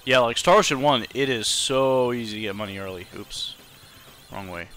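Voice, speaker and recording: A man's voice: medium pitch, moderate pace, bright, forceful tone, a little hiss or hum. A man chatting casually and in a rambling way as he streams a video game. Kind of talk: casual livestream chat over gameplay.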